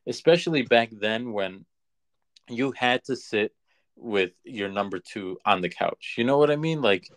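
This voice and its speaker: A man co-hosting a casual movie review chat, talking conversationally and haltingly, repeating phrases for emphasis. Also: mid-range voice, lively intonation, a bit bright, no echo. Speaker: podcast co-host.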